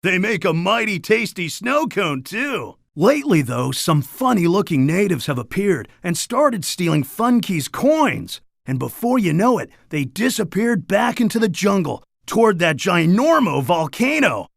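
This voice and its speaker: An actor playing a laid-back, boastful surfer dude creature in a cartoon game, talking in upbeat beach slang. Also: male voice, sits high, big pitch swings, measured pace, vocal fry, few pauses, dry recording, studio quality, full character voice. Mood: laid-back, storytelling. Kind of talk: animated game character dialogue.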